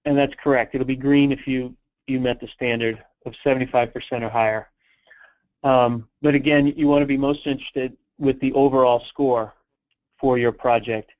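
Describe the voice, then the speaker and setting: A man's medium-pitched voice comes over a dull, lo-fi conference line. He keeps a flat, even intonation as he fields questions. His delivery is unscripted and halting, in the explanatory manner of a program briefing. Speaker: presenter answering callers' questions on a conference call. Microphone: telephone conference call.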